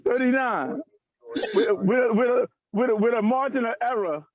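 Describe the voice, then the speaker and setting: A man's voice: very high-pitched, normal speed, no room sound, muffled recording. A man in his fifties, a former student, joking casually with old friends. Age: 50s. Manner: jokey.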